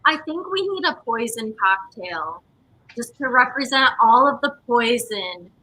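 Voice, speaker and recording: A woman with a high, bright voice chats as a guest on a show. Her manner is informal and enthusiastic, like easy talk among friends. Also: expressive, measured pace, low-quality recording. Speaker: theatre company guest. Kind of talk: casual chat.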